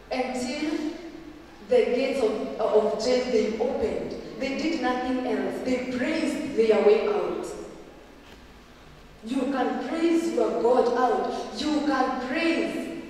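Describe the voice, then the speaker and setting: Young female preacher, Kenyan English accent, exhorting, slowing for emphasis; handheld mic, long church reverb, faint ambience. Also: a little high, darkish tone, a little hiss or hum. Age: young adult. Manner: earnest exhorting, slows for emphasis, gestures while speaking. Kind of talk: sermon. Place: church hall, indoors. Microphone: handheld mic, camera from a distance. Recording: echoey hall from far off.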